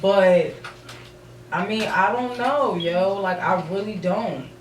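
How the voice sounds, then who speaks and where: A woman's voice: mid-range voice, takes it slowly, over a noisy crowd. A woman chatting casually on a podcast in slangy, informal talk. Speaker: podcast participant. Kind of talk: casual chat. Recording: echoey, distant mic, faint room noise.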